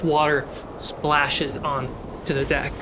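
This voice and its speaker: Guy game master narrating a fantasy session, voice a bit high, noticeable background noise. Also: little pitch movement, takes it slowly. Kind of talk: narrating a tabletop fantasy game among friends. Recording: clear background noise and ambience.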